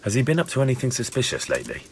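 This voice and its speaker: A man's voice: medium pitch, huge pitch swings, racing, slight background noise. An actor playing a young medieval man investigating a crime in a video game, plain-spoken and inquiring. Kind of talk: video game dialogue acting.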